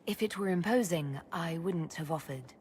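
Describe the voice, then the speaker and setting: An actress playing a calm, supportive woman who leads a fantasy order in a video game, speaking gently and plainly. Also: lowish voice, normal speed, close to an everyday voice. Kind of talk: video game cutscene acting. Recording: game capture with background ambience noise.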